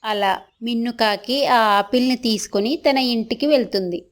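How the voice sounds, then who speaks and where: A woman's voice: a little high, normal speed. A woman narrator reading a scripted children's story.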